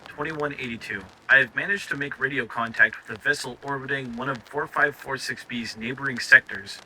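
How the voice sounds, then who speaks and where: A man playing a stranded crash survivor recording an audio log, anxious and awestruck, with a halting, stammering delivery. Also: medium pitch, sharp tone, a little hiss or hum, rough recording. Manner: halting, stammering over words. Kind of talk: scripted audio log narration.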